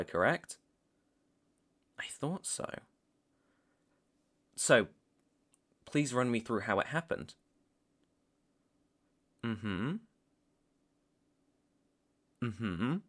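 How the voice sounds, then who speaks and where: Slow, menacing young British vampire hunter, acted by a man. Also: mid-range voice, fairly bright tone, full character voice. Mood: probing, intent. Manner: slow, deliberate, threatening. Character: stern, taunting young male vampire hunter, coolly menacing. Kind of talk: roleplay audio drama.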